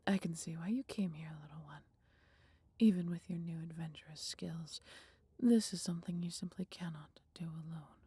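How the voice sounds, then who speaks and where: Woman voicing a husky, breathy, gentle she-dragon. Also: fairly low pitch, steady tone, dry recording. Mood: resolute, caring. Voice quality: breathy, husky, half-whispered. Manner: measured, formal, old-fashioned phrasing. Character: gentle, wise female dragon, fond of her human. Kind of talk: slow, intimate roleplay acting addressed to listener.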